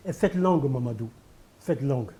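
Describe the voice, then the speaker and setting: A man's voice: mid-range voice, expressive, moderate pace, dark tone, slight background noise. An older Senegalese man, a poet speaking French as a second language to Pulaar, answering in an interview with emphatic, repeated phrases. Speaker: poet and candidate guest. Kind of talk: televised interview.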